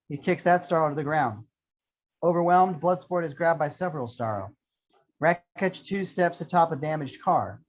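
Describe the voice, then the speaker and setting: A man reading screenplay action lines as scripted narration at an amateur table read. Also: a little high. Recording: dull lo-fi sound.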